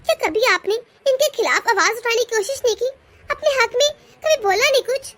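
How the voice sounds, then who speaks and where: A woman's voice: very high-pitched, animated, fairly quick, fairly bright tone. A woman voicing a bold, defiant young daughter-in-law in a cartoon family drama. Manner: defiant, scolding.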